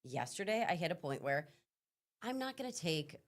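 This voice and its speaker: A woman co-hosting a morning TV show, chatting casually with a partner. Her voice sits a little low, and she tells animated stories and laughs often. She is recorded clean on a studio lapel mic. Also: moderate pace. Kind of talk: casual chat.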